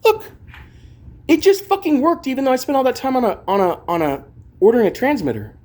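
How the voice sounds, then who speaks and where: A man's voice: extremely high pitch, very animated, brisk, a little mellow. A man chatting informally and ramblingly to camera, with slangy, sweary asides as he shows things off. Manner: informal, swearing, slangy, talking as they demonstrate gear. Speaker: vlogger and amateur songwriter. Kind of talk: casual rambling chat to camera.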